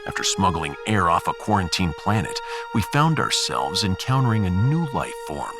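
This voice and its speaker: A man's voice: sits low, sing-song, normal speed, music in the background. A man playing a blunt, sardonic human starship captain in a sci-fi audio drama, with a wry, theatrical delivery. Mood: grave log-keeping.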